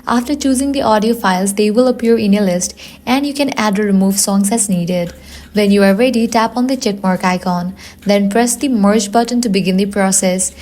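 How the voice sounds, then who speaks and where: A woman's voice: mid-range voice, moderate pace, darkish tone. A woman presenting a scripted tutorial, giving instructions step by step in narration.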